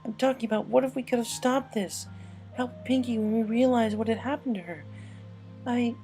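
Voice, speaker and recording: A woman voicing a young female cartoon pony, a loyal friend full of guilt, speaking in a halting, emotional, pleading way. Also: on the high side, warm tone, faint music behind, pitched above the performer's own voice, close to an everyday voice. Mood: guilty, anguished.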